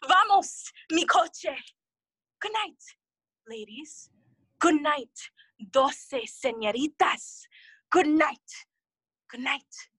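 A young noblewoman lost in grief and madness, high and slow in her speech. She wanders brokenly between English and Spanish in a Shakespearean audio play, fragile and close on a dry recording. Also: animated, a bit bright, no echo, heavily acted. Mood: tearful, wandering.

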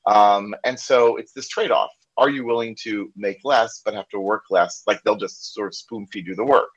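A man's voice: fairly low pitch, normal speed, breathy edge. An American man telling a candid story in a casual podcast conversation, acting out the dialogue he quotes. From United States. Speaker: corporate trainer and podcast guest. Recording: slightly dull lo-fi sound.

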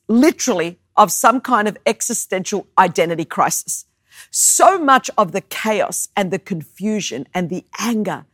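A woman in her thirties, raised in Australia with Greek as her first language, preaches in an animated, storytelling way with self-mocking humor and emphatic repetition. Her voice sits at a middle pitch for a woman and comes across close and dry, with no room sound around it. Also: dry recording. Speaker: guest preacher. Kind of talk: sermon with personal story.

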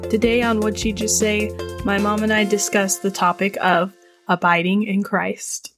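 A woman's voice: mid-range voice, flat delivery, normal speed, music in the background. A young woman hosting a podcast with her mother, sounding a little stuffy from a head cold and talking in a chatty, playful way. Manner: chatty, playful, laughs often. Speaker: podcast host. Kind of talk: casual podcast chat.

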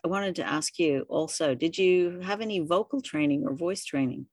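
A woman hosting a casual interview in a halting, chatty way. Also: fairly low pitch. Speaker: interviewer, podcast creator.

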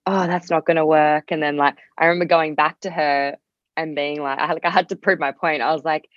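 A woman's voice: sits low, fairly even intonation, fairly quick. A woman chatting casually as a podcast guest, peppering her loose sentences with "like". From Australia. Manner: loose, heavy use of "like". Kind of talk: casual chat. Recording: roomy, slightly dull sound.

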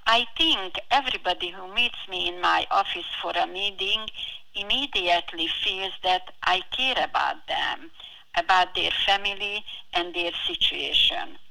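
A middle-aged woman with a heavy foreign accent, answering as a podcast guest in an earnest, deliberate, prepared-sounding way. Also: fairly low pitch, gravelly, bright, forceful tone, poor audio. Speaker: financial advisor, podcast guest.

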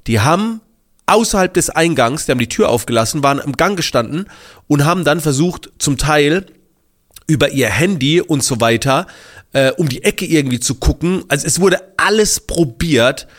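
A man hosting his podcast, slightly congested from a cold, telling a story casually with lots of fillers and restarts. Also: mid-range voice. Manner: animated, many fillers and restarts. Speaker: podcast host.

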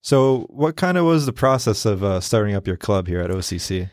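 Guy doing an interview, low voice, dry sound, lots of mm-hmms, very encouraging. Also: big pitch swings, a little fast, no echo. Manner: encouraging, frequent backchannels. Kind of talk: casual interview.

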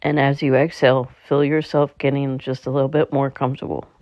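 A meditation guide speaking in a calm, slightly halting way, leading a slow guided relaxation. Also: mid-range voice, dry recording. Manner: calm, halting delivery with stumbles. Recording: dull, muffled lo-fi recording.

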